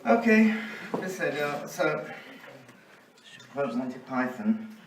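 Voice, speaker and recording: A man's voice: fairly high pitch, sing-song, slow pace, background noise. A man giving an academic conference talk with dry humor, reading a colleague's paper. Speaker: conference speaker. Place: conference hall. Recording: roomy hall, voice from a distance.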